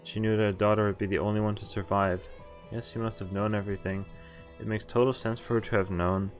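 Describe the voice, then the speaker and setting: A man's voice: fairly low pitch, flat delivery, fast, faint music behind. A man reading visual novel text aloud and adding casual, sweary commentary as he streams. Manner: swears freely.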